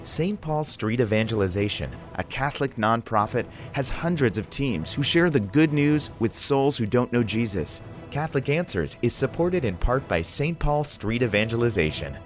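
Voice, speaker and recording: A man reading a scripted radio ad, earnest and measured. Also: medium pitch, expressive, faint music behind. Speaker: announcer.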